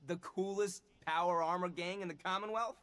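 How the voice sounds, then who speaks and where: A man's voice: high-pitched, steady tone, moderate pace, sharp tone. An actor playing a swaggering male greaser gang boss in a video game, talking in cocky, slangy teasing lines. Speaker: game character.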